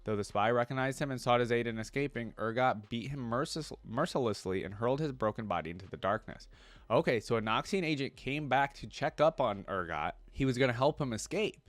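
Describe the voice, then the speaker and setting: A man's voice: medium pitch, expressive, dry recording. A man making a reaction video, switching between reading lore aloud and informal, musing commentary. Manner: informal, pausing to explain and muse.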